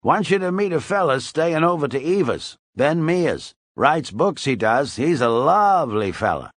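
Drunk old barfly, high and swooping, folksy and loud, played by a man. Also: big pitch swings, measured pace, no room sound. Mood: boozy. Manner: bawling, slurred folksy talk.

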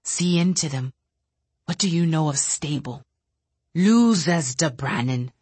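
A woman voicing a frail, nervous young male telepath who wails and snaps, husky-voiced. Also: deep voice, lively intonation, unhurried, a little mellow, dry recording, exaggerated voice. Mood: bitter, hushed. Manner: frantic, wailing, then bitter and snappish.

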